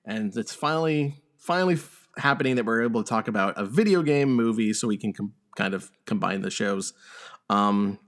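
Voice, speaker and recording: A man hosting a podcast, chatting casually about games and film and swearing freely. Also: mid-range voice. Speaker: podcast host.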